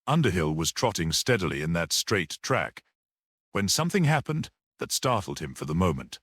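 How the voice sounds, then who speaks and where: Guy reading an old mystery story out loud, really lively pitch, close dry sound. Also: moderate pace, no echo. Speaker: audiobook narrator. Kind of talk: scripted dramatic story narration.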